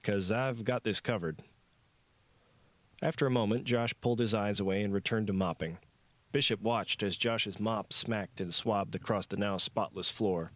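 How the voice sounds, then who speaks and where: A man narrates a novel in a scripted storytelling style. His voice sits on the low side, and the recording is dry and close, with very little room sound. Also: normal speed, a bit bright, no room sound. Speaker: audiobook narrator.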